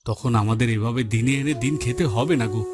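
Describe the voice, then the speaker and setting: A man's voice: mid-range voice, quick pace, close to an everyday voice. A man playing a humble, hardworking village fisherman and husband in a cartoon, talking in a plain, homely, reassuring way. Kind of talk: Bengali moral-story cartoon dubbing. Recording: loud music bed behind the voice.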